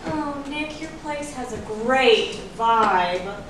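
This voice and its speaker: A woman's voice: on the high side, animated, takes it slowly, a crowd in the background. A woman playing a chatty, star-struck gossip lover in a staged reading, with a gushing, bubbly manner. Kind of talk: stage acting at a script reading. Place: large room, staged reading recorded from afar. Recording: echoey room, far from mic, noisy.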